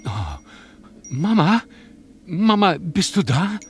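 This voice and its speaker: An actor playing a guilt-ridden, gullible grieving son in an audio drama, earnest and halting. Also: male voice, sits high, sing-song, slightly rough, faint music behind, exaggerated voice. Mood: pleading, guilt-ridden. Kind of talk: radio play acting.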